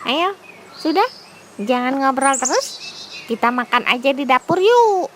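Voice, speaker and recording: An excitable female pig from a children's tale, acted by a woman. The voice is very high and squeaky, with theatrical exclamations, recorded with clear noise and birdsong behind it. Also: measured pace. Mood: eager, bossy.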